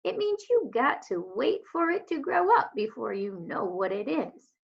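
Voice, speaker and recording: A woman's voice: fairly high pitch, big pitch swings, unhurried, creaky, slightly rough, heavily acted. Woman voicing a kindly, folksy old lady. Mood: gentle, reassuring. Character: kindly old Southern woman with poor eyesight, warm and folksy. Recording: dull lo-fi home recording.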